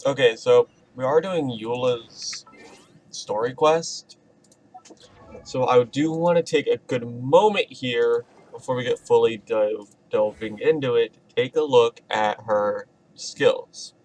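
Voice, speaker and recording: Casting: male game streamer, medium pitch, informal off-the-cuff commentary that rambles a little. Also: slow, darkish tone, low-quality recording. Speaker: gaming streamer. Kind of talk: casual gameplay commentary.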